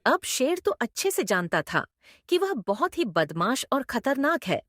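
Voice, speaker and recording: Lively woman narrating a story. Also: high-pitched, a little slow, no echo, clean studio sound.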